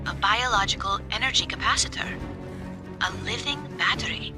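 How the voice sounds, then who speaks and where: A woman voicing a polite android analyst in a video game, speaking in a formal, measured and precise way. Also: mid-range voice, over music, close to an everyday voice. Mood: precise, calm. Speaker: team's technical analyst and translator.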